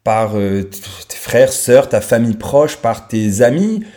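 A man's voice: mid-range voice, animated, measured pace, warm tone. A man in his thirties with a French accent, talking straight to the listener in an informal, unscripted podcast monologue. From France. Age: 30s. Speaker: podcast host.

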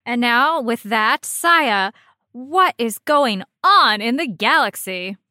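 Woman cohost, very bright voice, bit slow, teasing dry humor, casual podcast chat. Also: on the high side, animated. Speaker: podcast co-host. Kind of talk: casual banter.

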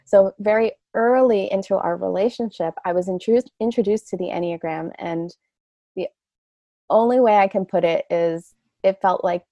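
A woman's voice: mid-range voice, moderate pace, warm tone, no room sound, highs cut off. A middle-aged woman from Canada, a guest on a podcast, speaking earnestly and reflectively in long flowing sentences. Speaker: guest, coach. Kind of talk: podcast interview.

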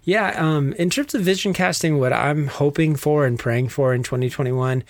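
Male co-host, Texas accent, relaxed rambling chat, dry mic. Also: on the high side, normal speed, no room sound. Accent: Texan. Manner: easygoing, rambling, laughs easily. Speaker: podcast co-host. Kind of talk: casual podcast chat.

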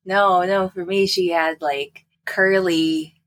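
A woman's voice: on the low side, steady tone, slow pace, a bit bright. A woman co-hosting a podcast, casually recapping a book with wry, sarcastic asides. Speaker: podcast co-host.

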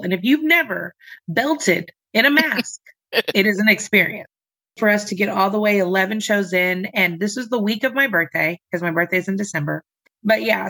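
Woman, low voice, lively dramatic storyteller, interview guest. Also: low-quality recording. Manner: animated, dramatic storyteller, acts out quoted voices. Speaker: guest, singer and nurse. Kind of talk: interview conversation.

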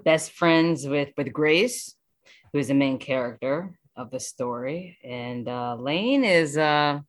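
A woman speaking casually as an interview guest, reflective and halting as she talks about her work. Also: low-pitched. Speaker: voice actor guest.

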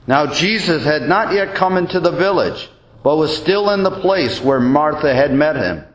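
A man, a deacon, reading the Gospel as a solemn scripture reading, with measured, deliberate and expressive phrasing. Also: a little high. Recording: roomy, echoing church-like sound.

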